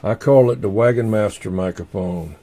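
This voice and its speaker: A man hosting a casual livestream, rambling in an unhurried way and half muttering to himself as he goes. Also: on the low side, mellow. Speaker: livestream host.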